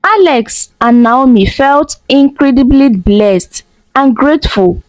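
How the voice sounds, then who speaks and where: A woman narrating a folktale in a gentle, expressive way. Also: high voice, slow pace, no room sound, rough recording. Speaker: storyteller. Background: soft music.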